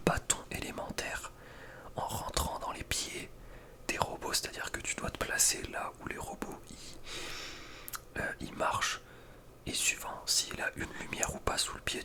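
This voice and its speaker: A man speaking in a breathy whisper, giving informal, slangy ASMR commentary as a gaming video creator. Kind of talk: whispered ASMR casual commentary ranking video game maps.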